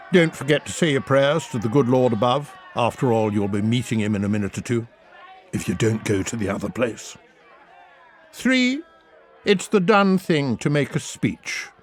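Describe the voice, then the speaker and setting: A man acts out a blunt Tudor axeman for a comic audiobook. He is English and colloquial, darkly jovial, handing out grim advice as if it were routine, with a very lively, swinging pitch. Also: lightly acted. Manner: matter-of-fact, advising, colloquial. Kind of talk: comic audiobook character reading.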